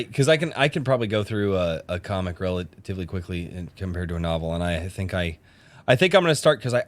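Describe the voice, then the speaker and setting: Excitable man, lowish voice, podcast host. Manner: excitable, enthusiastic. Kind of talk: casual podcast chat.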